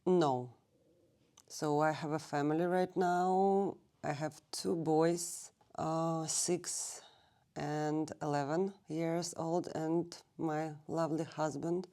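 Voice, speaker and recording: A woman in her thirties from Russia, a native Russian speaker, telling her life story in an interview at a library and pausing to search for English words. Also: deep voice, fairly even intonation, warm tone. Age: 30s. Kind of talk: recorded oral history interview. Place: public library.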